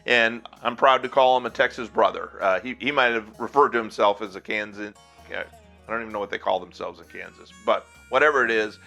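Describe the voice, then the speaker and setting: A man's voice: fairly low pitch, sharp tone. An older American man hosting a casual podcast history segment in a conversational, folksy, rambling way. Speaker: podcast host.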